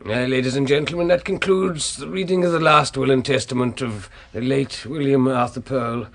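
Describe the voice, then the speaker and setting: Gravelly British formal male solicitor, stiff and officious, medium pitch, 1970s radio play. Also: steady tone, normal speed, a bit bright. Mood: formal, solemn. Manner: stiff, officious, slightly hesitant. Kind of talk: 1970s radio drama acting.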